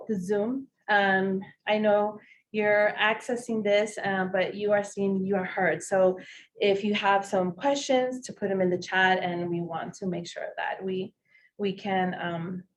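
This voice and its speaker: A woman from Ecuador welcomes an audience in an unhurried delivery. She often repeats words while searching for the next thought. A faint noise of the room sits behind her. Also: medium pitch. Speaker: event speaker, consultant. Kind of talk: informal welcome address to an audience.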